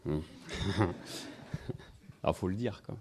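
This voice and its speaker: Man, low mellow voice, informal lecturer, halting and chatty. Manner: rambling, hesitant, many fillers, wry asides. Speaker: speaker giving a talk on incense history. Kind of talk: informal public talk to a live audience. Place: festival evening event, indoors.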